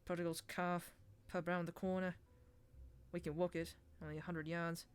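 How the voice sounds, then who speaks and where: A woman's voice: lowish voice, level tone, normal speed, clean tone, natural voice. A woman reading an audiobook voices a blunt male police officer who talks in a curt, matter-of-fact way. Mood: brisk.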